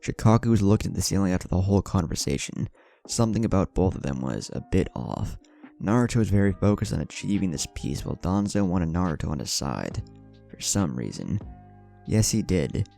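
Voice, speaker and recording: A man narrates scripted fan fiction. His voice is deep and mellow, with lively rises and falls, over a bed of quiet music. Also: brisk, vocal fry. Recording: music under the voice.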